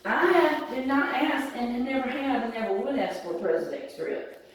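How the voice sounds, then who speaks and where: A hoarse woman, a respiratory therapist, insistently defending herself in a heated workplace argument. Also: fairly high pitch, expressive, moderate pace, very echoey, recorded from a distance. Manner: defensive, insistent, repeating denials.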